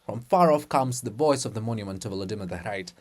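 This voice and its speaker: A man's voice: fairly low pitch, expressive, quick pace, a little mellow. A man narrating as reader in a dramatic reading of a play, announcing characters and stage directions.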